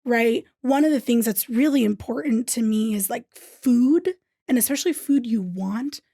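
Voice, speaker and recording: A woman's voice: a little high, normal speed, warm tone, no echo, clean studio sound. A woman from Thailand, a business owner talking earnestly in a casual interview, often checking in with "right?".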